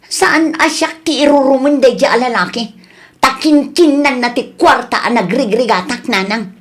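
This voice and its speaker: A hurt, indignant wife with a fairly high voice and an Ilocano Filipino accent , played by a woman. She confronts her cheating partner in the manner of a radio comedy drama. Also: moderate pace, natural voice.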